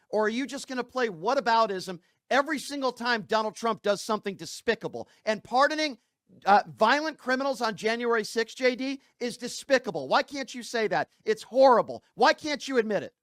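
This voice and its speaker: High-pitched, combative male talk show host. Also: a bit bright, dry recording, clean studio sound. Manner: combative, indignant, interrupting, emphatic rhetorical questioning. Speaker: political call-in show host. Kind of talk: heated political argument.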